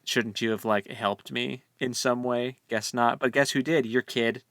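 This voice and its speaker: A man chats as a co-host in a book discussion, loose and jokey in his wording. His pitch sits in a middle range for a man. The sound is dry, with very little room on it. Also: steady tone, measured pace, fairly bright tone, dry recording. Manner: informal, jokey, slangy. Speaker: podcast co-host.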